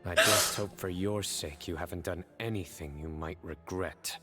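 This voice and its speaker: A man's voice: low voice, normal speed, loud music behind the voice, natural voice. An actor playing a stern, suspicious and impatient young lawman in a video game, terse and serious. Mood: suspicious, threatening.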